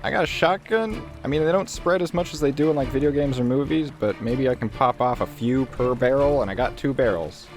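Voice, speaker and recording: A man with a medium-pitched voice chats as a player at a tabletop game. He is informal and inquisitive, given to joking and swearing, and music plays clearly behind him. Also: fairly quick, hardly any pauses. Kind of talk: casual game-table chat. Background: music bed.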